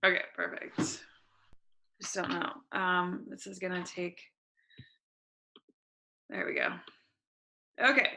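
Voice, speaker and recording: A woman hosting an online workshop over a video call, chatty and relaxed with casual fillers. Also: lowish voice, takes it slowly, pauses often, slight background noise, low-quality recording. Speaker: workshop host.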